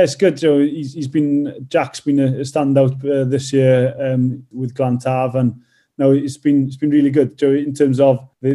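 A man's voice: mid-range voice, dark tone. A Welsh man from North Wales in his thirties, a rugby player and teacher answering interview questions with many fillers and restarts.

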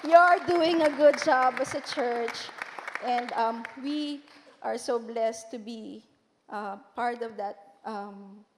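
Woman, fairly high voice, grateful address from a church stage, reverberant hall. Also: steady tone, slow, a crowd in the background, noisy background. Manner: earnest and grateful, with some hesitant fillers. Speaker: church visitor giving thanks. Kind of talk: speech of thanks to a congregation.

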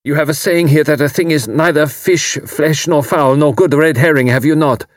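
A man voicing an anguished young German refugee with a German accent, hoarse and bitter, in formal, halting phrasing. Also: on the high side, no room sound, clean studio sound, voice pushed up, close to an everyday voice. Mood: bitter despair. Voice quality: hoarse, strained.

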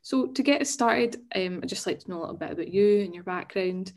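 A woman with a voice of ordinary pitch leads an interview over a video call. She asks her questions politely and keeps them short. She prompts her guest gently between his answers. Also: a little fast. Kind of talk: oral history interview.

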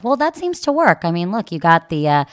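A woman hosting a podcast, her voice sitting low for a woman. She keeps the talk loose and teasing, tossing in quick jokes between questions. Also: big pitch swings, over a noisy crowd. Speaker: podcast host. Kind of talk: playful interview chat.

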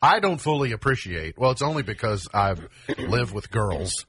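A man's voice: on the low side, very animated, moderate pace, fairly bright tone, husky. A man co-hosting a casual talk show, bantering with dry wordplay between items he reads off a list. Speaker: co-host. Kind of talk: casual banter around reading a gift list.

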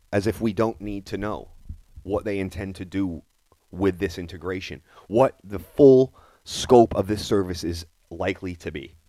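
Deep guy ranting on a podcast, dry close sound, keeps hammering his point. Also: mellow, no room sound, rough recording. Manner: indignant, emphatic, repeats phrases for stress. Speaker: podcast host. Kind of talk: opinionated current-affairs commentary.

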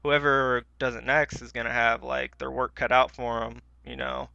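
A man's voice: mid-range voice, big pitch swings, normal speed, vocal fry, pushed and bright, clean tone, no echo, muffled recording. A man co-hosting a podcast in casual, informal chat with slangy wording. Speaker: podcast co-host.

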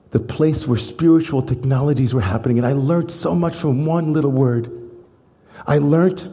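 A man giving a sermon as a rabbi, telling a story with rising emphasis and then slowing into soft, reflective phrases. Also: mid-range voice. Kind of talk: sermon to a congregation. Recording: long hall reverb.

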